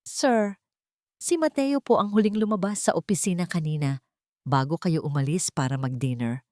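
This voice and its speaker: A woman's voice: fairly low pitch, a little mellow, no room sound, studio quality, close to an everyday voice. Woman voicing a formal, serious security guard. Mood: deferential. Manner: formal, brisk. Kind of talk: audio drama storytelling.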